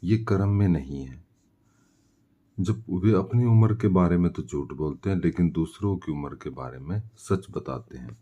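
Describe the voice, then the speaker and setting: A man's voice: sits low, expressive, moderate pace, warm tone, muffled recording. A man teaching maths step by step, posing questions and answering them himself as he explains. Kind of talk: step-by-step worked-solution lesson.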